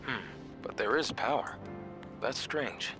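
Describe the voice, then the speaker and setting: A man's voice: medium pitch, takes it slowly, music in the background, natural voice. A man playing an easygoing, knowledgeable scout companion in a video game, talking in a casual, conversational way. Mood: helpful, casual. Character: easygoing, knowledgeable male scout companion, gently teasing and wistful.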